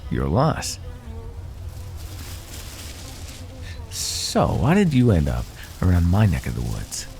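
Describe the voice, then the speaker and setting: A man's voice: sits low, vocal fry, a little mellow, heavily acted. A man voicing a smug, predatory naga who teases and threatens with mocking condescension in an ASMR fantasy roleplay. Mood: smug, threatening. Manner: mocking, condescending, drawling pet names. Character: smug predatory male naga, teasing and menacing.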